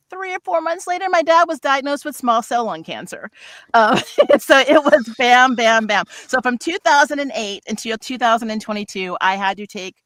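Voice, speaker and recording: A middle-aged woman with a bright, forward voice, recorded close and dry. She talks casually as a voice actor on a livestream panel, candid and informal, poking fun at herself. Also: medium pitch, no room sound. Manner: candid, self-deprecating humor; informal slangy phrasing.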